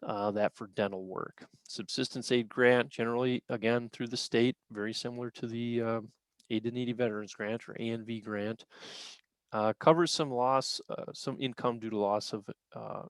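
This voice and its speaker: An American man giving an informal benefits briefing as a presenter, explaining in a halting way with frequent pauses mid-sentence. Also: medium pitch, steady tone, no room sound. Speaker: county veterans service officer presenting benefits. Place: virtual briefing, dry-sounding room.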